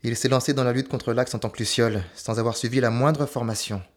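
A man playing a gruff, impatient resistance fighter in an audio drama, speaking curtly. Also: mid-range voice, steady tone, fast, natural voice. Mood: sober, respectful.

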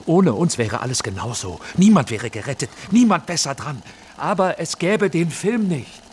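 A man's voice: a little high, big pitch swings, normal speed, slight background noise. An actor playing a driven film director who insistently urges his cameraman on in a radio drama. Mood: persuasive. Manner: insistent, persuasive. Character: driven male film director, commanding, urging.

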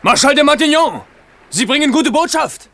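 A man's voice: very high-pitched, animated, a bit bright, slight background noise, exaggerated voice. A man playing a French king who proclaims his will in a declamatory radio drama style. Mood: expectant. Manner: declamatory, measured public proclamation. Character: King Henri of France, proclaiming ruler. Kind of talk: 1970s German radio drama acting. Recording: echoing large hall.

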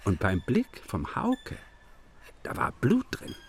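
A sardonic male villager for a radio drama. He tells grim tales in clipped bursts, his pitch leaping dramatically, with faint ambience behind him. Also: huge pitch swings, takes it slowly, slight background noise. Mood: grim, accusing. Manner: taunting, clipped, colloquial storytelling. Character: sardonic male villager with a dark eyewitness tale.

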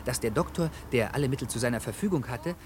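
A man's voice: mid-range voice, animated, quick pace, noisy background, natural voice. An actor playing an eager townsman reading scandalous news aloud in a 1950s German radio drama. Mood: eager, gossiping.

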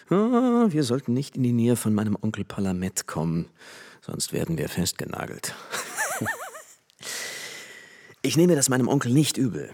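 An actor playing a flippant, worldly young French aristocrat and army officer in a radio play, chatting with easy familiarity. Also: male voice, mid-range voice, a little mellow, breathy edge, full character voice. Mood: amused, mocking. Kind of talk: German radio play dialogue. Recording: long hall reverb.

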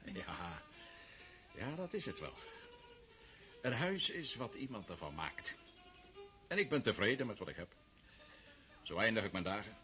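An actor playing a jovial old Russian country uncle, rustic and hearty, in a radio drama. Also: male voice, lowish voice, very animated, measured pace, long pauses, pushed and bright, soft background music, exaggerated voice. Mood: mellow, contented.

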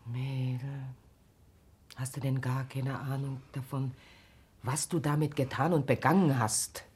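An actress playing a grave, caring older village woman in a German radio play, husky, sorrowful and gently reproachful. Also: extremely low pitch, steady tone, unhurried, full character voice. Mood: sad reproach. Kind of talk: German radio play, solemn naturalistic acting.

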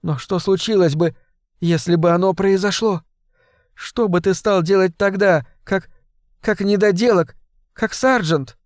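Husky sobbing woman on the phone, pleading, pitched up high, as a male narrator puts her on, dry close sound. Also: measured pace, no room sound. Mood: pleading tearful. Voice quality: husky, chesty.

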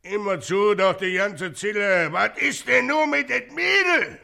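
A gruff, slow-witted father in a German radio drama. He grumbles in at an unhurried pace, with a gravelly, hard-edged voice. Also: high voice, expressive, bright, forceful tone, full character voice. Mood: angry. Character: grumpy, slow-witted, gruff working-class husband and father. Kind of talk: German radio play acting.

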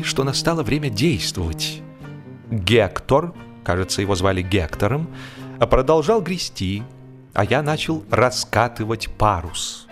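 Male storyteller with music behind him. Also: medium pitch. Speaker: narrator of a humorous audiobook. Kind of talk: expressive scripted narration of a comic story.

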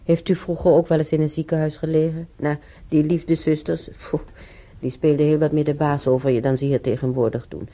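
An actress playing a weary, timid elderly former housemaid in a radio play, with a breathy, husky voice and halting, sighing delivery. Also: female voice, low-pitched, level tone, mellow. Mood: mildly insistent. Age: older. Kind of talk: naturalistic radio drama monologue and dialogue. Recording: dull, muffled radio play recording.

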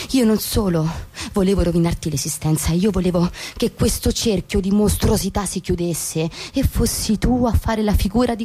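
An actress playing an anguished young suburban wife in a radio drama, confessing haltingly to her husband and repeating her words with intensity. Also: mid-range voice, dark tone, lightly acted. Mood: anguished, self-reproaching. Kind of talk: theatrical radio drama dialogue.

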